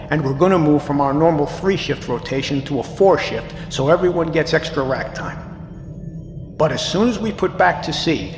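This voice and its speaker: An actor playing a steady, grave ship's captain, addressing his crew in a measured, authoritative way in an audio drama. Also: a little high, roomy sound, long reverb tail, slight background noise, natural voice. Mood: firm, resolute. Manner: measured, authoritative, plain-spoken. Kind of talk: scripted audio drama, formal announcement.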